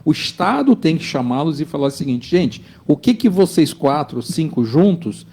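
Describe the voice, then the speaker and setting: Business guest, male, 50s: gravelly, warm-toned, emphatic and insistent. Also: on the high side, big pitch swings, normal speed. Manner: emphatic, insistent, keeps checking with the listener. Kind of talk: opinionated studio discussion.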